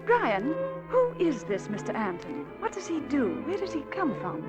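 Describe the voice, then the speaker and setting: Arch, high-voiced society lady. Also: huge pitch swings, normal speed. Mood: arch, teasing. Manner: arch, effusive. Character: gossipy, gracious society hostess, titled lady. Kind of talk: old-time radio drama acting. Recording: dull vintage radio recording with music.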